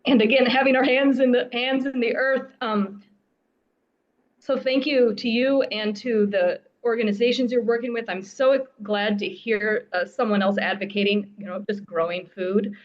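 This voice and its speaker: A woman from the United States asks a question from the audience in a halting, thoughtful and thankful way. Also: a little high, steady tone. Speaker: audience member asking a question. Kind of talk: casual question from the floor. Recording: dull lo-fi audio.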